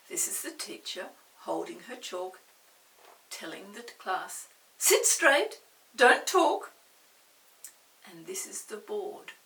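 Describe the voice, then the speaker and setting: An older woman reading a story aloud to young children, expressive, pausing to explain and ask the listener questions. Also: medium pitch. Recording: roomy, distant mic with faint hiss.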